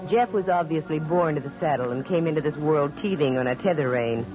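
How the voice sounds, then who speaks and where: An actress playing a wry, self-assured private investigator, dry and breezy, in 1940s radio acting and narration. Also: female voice, low-pitched, a little fast, music in the background, natural voice. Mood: narrating. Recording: muffled old radio recording.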